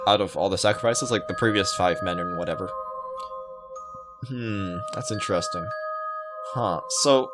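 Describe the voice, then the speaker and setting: Low-voiced young man reading over loud music. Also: moderate pace, pauses often. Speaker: gaming video host. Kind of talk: visual novel read aloud with casual commentary. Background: loud game music.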